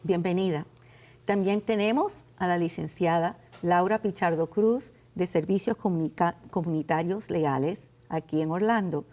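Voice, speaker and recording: A woman's voice: fairly low pitch, darkish tone. Formal woman hosting a legal program. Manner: formal, measured delivery. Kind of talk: scripted TV hosting and interviewing.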